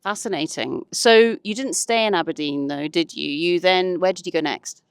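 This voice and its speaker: A woman's voice: on the low side, normal speed, smooth, no room sound, clean studio sound. A woman hosting a podcast, asking questions in a casual, chatty way. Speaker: podcast host, interviewer. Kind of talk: casual interview chat.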